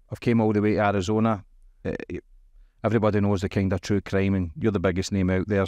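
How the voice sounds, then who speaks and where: A man's voice: lowish voice, a little mellow, no echo, clean studio sound. A man hosting a podcast interview, upbeat and asking direct questions. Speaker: podcast host.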